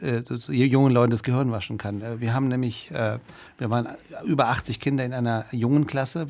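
A man in his forties, from Iran, speaks as a member of parliament in a radio interview. He is animated and keeps restarting his sentences, with plenty of fillers along the way. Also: mid-range voice.

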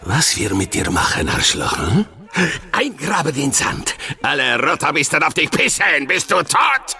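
Vengeful old nomad warlord, creaky, pitch all over the place, broken grammar, radio play. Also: male voice, very animated, sharp tone, exaggerated voice. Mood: gloating, furious. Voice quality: gravelly. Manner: menacing, drawn-out, broken grammar.

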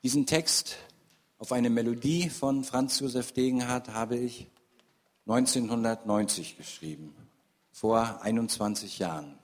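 A man's voice: mid-range voice, unhurried. A man speaking as a singer-songwriter introducing his own songs. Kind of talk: spoken song introduction. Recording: long hall reverb, loud guitar music. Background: acoustic guitar music.

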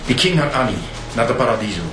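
An actor playing an evasive, hesitant witness with a Flemish accent in a radio drama. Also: male voice, mid-range voice, animated, quick pace, echoey room, music in the background, lightly acted, low-quality recording. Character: evasive witness who saw the suspect.

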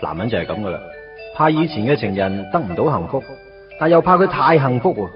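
A man acts as a wry young Hong Kong man in a Cantonese radio drama, self-mocking and conversational. His intonation is lively and wide-ranging. Music plays behind his slightly dull-sounding voice. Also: medium pitch, a little fast, lightly acted. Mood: wry, wistful. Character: wry, put-upon young man recalling his first love. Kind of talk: radio drama narration and dialogue.

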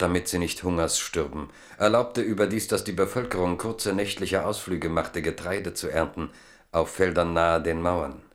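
A man narrating a literary radio drama in a scripted, storytelling style. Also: low voice.

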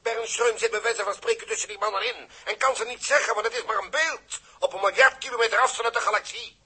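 A distressed official in an old radio drama. His high voice is forceful and very husky, pressing each grave point with urgency. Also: quick pace, full character voice. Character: distressed official reporting to his prime minister. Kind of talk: 1960s radio drama acting.

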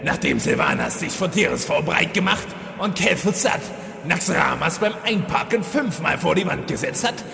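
A man's voice: fairly high pitch, animated, normal speed, creaky, pushed and bright, soft background music, heavily acted. A man playing a theatrical undead arch-villain in a comic fantasy audio drama, hammy and comically domestic. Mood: indignant. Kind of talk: German audio drama parody. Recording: echoey hall reverb, faint noise.

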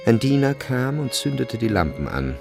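A man narrating a literary radio drama from a script, measured and precise in his storytelling. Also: medium pitch, sing-song, gravelly, a little mellow, soft background music.

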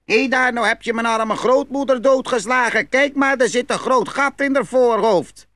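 A man's voice: very high voice, normal speed, a bit bright, no room sound. A man playing a sly young peasant trickster in a fairy-tale audio play, glib and falsely innocent. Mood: mock-reproachful. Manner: glib, storytelling, feigned innocence.

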